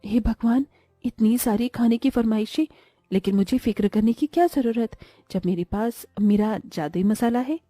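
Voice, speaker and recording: A woman acting an expressive, melodramatic household woman in a family cartoon story. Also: on the high side, normal speed, dry recording, clean studio sound, faint music behind, lightly acted. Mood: brisk, fretful.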